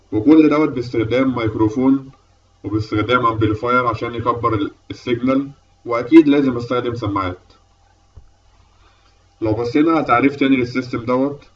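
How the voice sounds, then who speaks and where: A man's voice: medium pitch, distant mic, lo-fi sound. A man with an Egyptian Arabic accent giving a methodical, explanatory lecture as an instructor, step by step. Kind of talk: explanatory lecture over slides.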